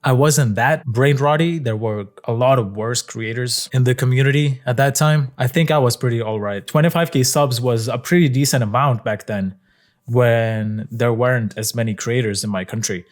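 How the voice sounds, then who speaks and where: A man's voice: medium pitch, normal speed. Lithuanian-accented young man, casual talk. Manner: relaxed, conversational, frequent fillers and casual swearing. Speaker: content creator. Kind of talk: casual reflective monologue.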